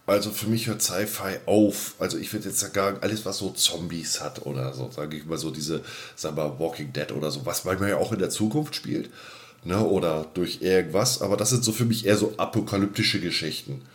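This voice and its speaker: A man's voice: deep voice, a little fast. Hesitant man chatting on a podcast. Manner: hesitant, roundabout, repeats himself. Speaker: podcast co-host. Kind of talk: casual sci-fi fan chat.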